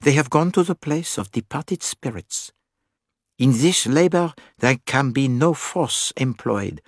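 A man's voice: mid-range voice, slightly rough, dry recording, clean studio sound, voice pushed up. A man playing an elderly detective, courteous, methodical and a little weary, speaking steadily in a story reading. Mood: solemn. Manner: steady, measured.

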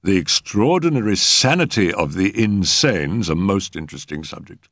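Guy doing a pompous genial top doctor from a storybook, lecturing, very animated, close dry sound. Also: low-pitched, dry recording, full character voice. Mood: self-satisfied, expansive. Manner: pompous, measured, emphatic lecturing. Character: pompous, genial eminent male physician, fond of lecturing.

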